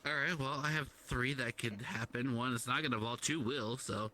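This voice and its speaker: A young man in casual online roleplay chat, boastful and teasing, talking in a halting, chatty way. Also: mid-range voice, sharp tone. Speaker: roleplayer, guild staff member. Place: online virtual-reality chat. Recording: faint background noise.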